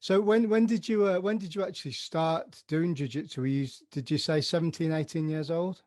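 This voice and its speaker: A man's voice: on the high side, steady tone, a little fast, a little mellow, dry recording, clean studio sound. A man hosting a podcast, asking long, rambling questions with many restarts. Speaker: podcast host. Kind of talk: podcast interview.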